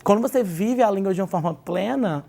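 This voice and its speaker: A woman's voice: medium pitch, lively intonation, fairly quick, gravelly, mellow. A Brazilian woman, an English teacher, giving advice as a guest in a TV studio interview and often tagging her points with "né?". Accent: Brazilian Portuguese. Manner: explanatory, lots of "né?" tag questions.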